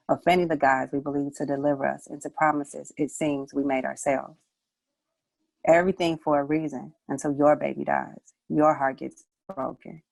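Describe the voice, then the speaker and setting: A woman's voice: sits low, creaky, dry recording, poor audio. A woman reciting her own poetry as a spoken word piece. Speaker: poet, showcase participant.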